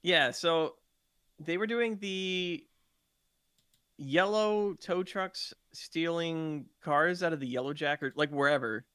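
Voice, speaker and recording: A man's voice: a little high, takes it slowly, pushed and bright, smooth, no room sound, studio quality. A man telling roleplay gaming stories in a casual podcast chat. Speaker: podcast participant, game roleplay streamer.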